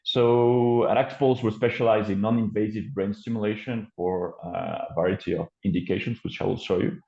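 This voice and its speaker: A man's voice: lowish voice, fairly even intonation, fairly quick, vocal fry, a little mellow. A man with a French accent presenting his company's work on a video call, hesitant, with many fillers and restarts. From France. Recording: muffled roomy call audio.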